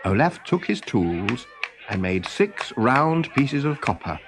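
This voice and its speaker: A man reading a children's story in an animated, expressive storytelling manner. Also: medium pitch, slow, a crowd in the background. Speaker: story reader. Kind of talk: scripted children's storybook narration.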